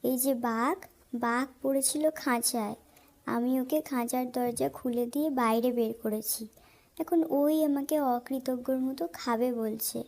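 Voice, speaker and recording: Young girl , played by a woman: pleading, good-hearted, high voice, unhurried delivery, kids' fable animation. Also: fairly even intonation, a little mellow. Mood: pleading, coaxing. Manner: pleading, coaxing. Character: kindly girl pleading for her life. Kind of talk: children's animated fable dialogue.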